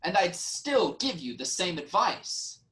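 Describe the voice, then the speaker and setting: Man playing wronged nobleman, slightly high voice. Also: big pitch swings, a little slow, fairly bright tone, exaggerated voice. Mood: reasoning, defensive. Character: wronged, reasoning nobleman, brother-in-law of the king. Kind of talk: dramatic Greek tragedy reading.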